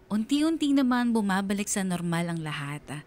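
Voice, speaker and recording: A woman's voice: mid-range voice, sing-song, normal speed, a little mellow, lightly acted. A woman playing a young Filipino letter writer, narrating earnestly and reflectively in a sentimental radio drama. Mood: wistful, reflective. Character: young woman confiding her troubles by letter.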